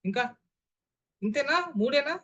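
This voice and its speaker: A man's voice: extremely high pitch, a little slow, fairly bright tone, dull audio. A man leading an online voice modulation class over a video call, who keeps putting questions to his listeners and repeating them. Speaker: voice modulation trainer. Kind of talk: interactive online class talk.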